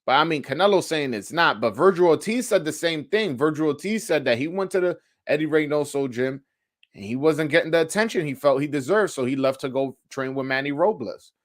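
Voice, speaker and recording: A man's voice: on the high side, expressive, fairly quick. A man hosting a live sports call-in show, chatting casually in slangy, sweary language and often repeating phrases as he thinks aloud. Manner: informal, slangy, frequent swearing. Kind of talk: casual sports chat answering live commenters.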